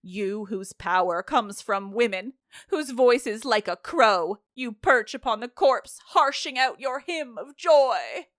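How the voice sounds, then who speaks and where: A woman's voice: fairly high pitch, expressive, slow, dry recording. A mournful chorus of ancient tragedy, acted out by a woman storyteller. The delivery is declamatory and theatrical, full of grave accusation. The recording is close and dry. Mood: ominous invocation.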